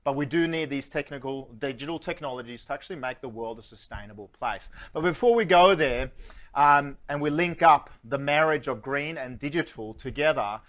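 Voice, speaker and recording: A man's voice: medium pitch, brisk, a bit bright. Swedish-Australian man giving a joking keynote. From Sweden. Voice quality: joking, engages the audience with questions. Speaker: futurist keynote speaker. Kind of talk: conference keynote speech. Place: conference stage before a live audience.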